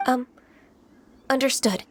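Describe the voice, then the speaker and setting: A woman voicing a gentle, earnest, self-doubting young female immortal in training for a video game, polite and hesitant. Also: high-pitched, takes it slowly, noisy background.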